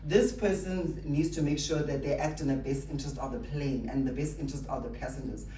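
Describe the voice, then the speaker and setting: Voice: medium pitch, steady tone, fairly quick, mellow. Distant echoey recording, faint noise, motivational speaker, emphatic, rhetorical, repetitive phrasing. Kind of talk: motivational speech to staff.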